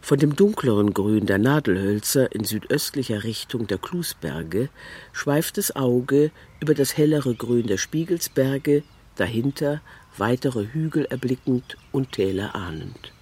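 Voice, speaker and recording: A man's voice: mid-range voice, animated, unhurried, a little mellow, breathy edge. A man reading out scripted, descriptive literary prose as if from a document. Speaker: reader of quoted documents.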